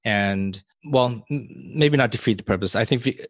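A man co-hosting a discussion podcast, speaking in a measured way, weighing both sides with small restarts. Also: medium pitch, no room sound. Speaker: podcast co-host. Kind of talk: casual discussion.

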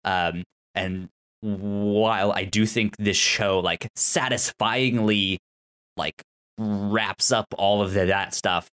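Guy with kinda low voice, stutters on syllables, halting but really into it, casual podcast chat. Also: fairly even intonation, fairly bright tone, clear, resonant tone. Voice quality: stutter, repeating sounds and syllables. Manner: halting, enthusiastic. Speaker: podcast co-host. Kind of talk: casual TV show discussion.

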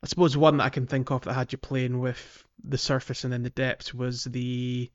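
A man's voice: mid-range voice, flat delivery, normal speed, lo-fi sound. A man co-hosting a video game podcast in casual, enthusiastic chat, often feeling his way through a thought. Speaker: podcast co-host.

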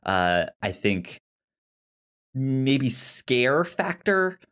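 A man co-hosting a film podcast in casual discussion, halting and stuttering, often repeating sounds and words. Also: medium pitch, very animated, fairly bright tone, clear, resonant tone. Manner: halting, hesitant delivery.

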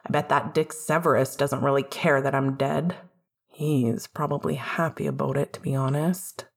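A woman's voice: very deep voice, steady tone, normal speed, gravelly, slightly rough, voice pushed down, exaggerated voice. A woman reading a drunk, grieving young man who mutters and grumbles bitterly.

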